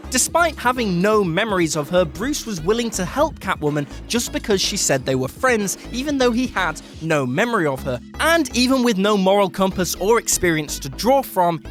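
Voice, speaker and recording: A presenter reading a scripted countdown in an emphatic storytelling way, with cheeky, slangy asides. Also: medium pitch. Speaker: presenter of a comics countdown video. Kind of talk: scripted narration of a countdown list.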